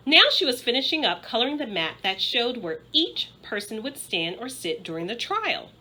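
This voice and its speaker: Animated woman reading a children's story. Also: fairly high pitch, normal speed, rough recording. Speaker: school principal reading aloud. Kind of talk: expressive children's storybook narration.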